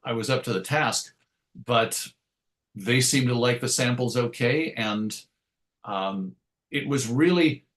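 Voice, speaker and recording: A man's voice: mid-range voice, slow pace, fairly bright tone. A middle-aged man with a mid-range voice speaks as an interview guest. He is articulate and reflective, choosing his words with care. His delivery is conversational and modest, with a self-deprecating streak. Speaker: interview guest, writer and radio show host.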